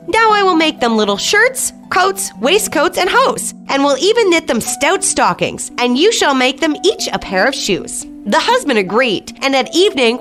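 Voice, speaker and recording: A woman radio host reading a children's bedtime story as scripted storybook narration. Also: high voice. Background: soft music.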